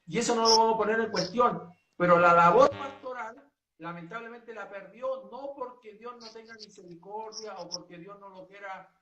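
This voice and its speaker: A man from Chile, a pastor, setting out his church's principles in an earnest, measured way with many hesitations. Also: high voice, bright, forceful tone, roomy sound, recorded from a distance, dull audio. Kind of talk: doctrinal statement in a two-way talk.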